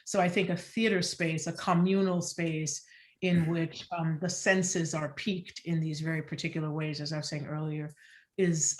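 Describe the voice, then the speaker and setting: A woman with a low-pitched voice speaks in a reflective, scholarly register. She is articulate and deliberate, weighing her words and stressing the important ones. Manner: deliberate, searching, articulate, emphasizes key words. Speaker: theater artist on a panel. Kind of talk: scholarly, reflective panel discussion.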